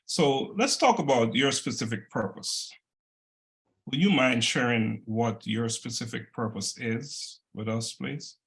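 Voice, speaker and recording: Male host, mid-pitched gravelly voice, polite interviewer who recaps and prompts. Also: measured pace, a bit bright. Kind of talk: interview questions.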